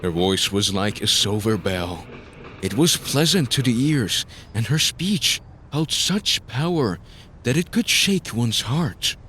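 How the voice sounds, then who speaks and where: A man's voice: medium pitch, sing-song, takes it slowly, sharp tone, slight background noise. A man narrating a scripted story in a dramatic style, as the narrator of an audio drama.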